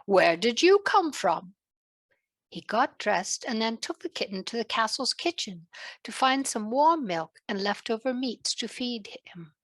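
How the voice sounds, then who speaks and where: A woman narrating a children's story in a gentle, deliberate way, with a British accent that comes and goes. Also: fairly high pitch, animated, no echo. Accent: British, fading in and out.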